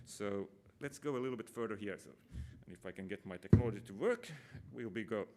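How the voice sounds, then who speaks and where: A man's voice: lowish voice, brisk, darkish tone, slight background noise. A middle-aged man from Finland giving a conference talk, casual and self-deprecating, with many fillers and restarts. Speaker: conference speaker, operations manager. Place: conference room.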